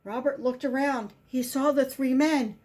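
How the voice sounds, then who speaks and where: Woman, high voice, very unhurried pace, expressive children's read-aloud, deliberate emphasis on key words. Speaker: volunteer children's book reader.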